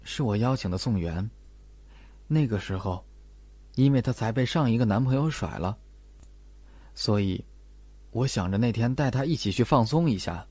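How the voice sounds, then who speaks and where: A man plays a soft-spoken young friend in an audiobook mystery. The delivery is quiet, slow and hesitant, recorded dry and close. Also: medium pitch, expressive, breathy edge, no echo, voice pushed down. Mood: subdued. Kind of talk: audiobook character acting.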